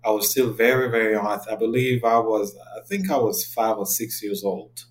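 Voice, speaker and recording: A man's voice: on the low side, a little fast. A man in his thirties from Cameroon, a podcast guest talking earnestly and reflectively in a casual interview. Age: 30s.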